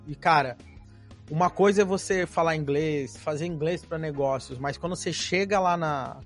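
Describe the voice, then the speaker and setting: A Brazilian man hosting an interview, chatting in an animated way with lots of fillers. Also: on the high side, moderate pace, faint music behind. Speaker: podcast host. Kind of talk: casual interview chat.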